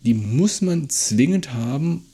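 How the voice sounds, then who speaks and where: Podcast guy, husky mellow voice, casual opinions, lots of repeated agreeing. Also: a little high, expressive, slow pace. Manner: conversational, repeats agreeing words. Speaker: podcast host. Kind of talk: casual discussion and critique of an audio drama.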